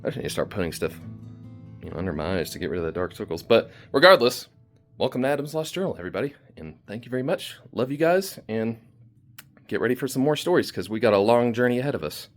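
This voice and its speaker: A man talking in a casual, rambling vlog style to his listeners, informal and halting as he thinks aloud. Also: mid-range voice, animated, soft background music. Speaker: storytelling channel creator and host. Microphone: phone video recording.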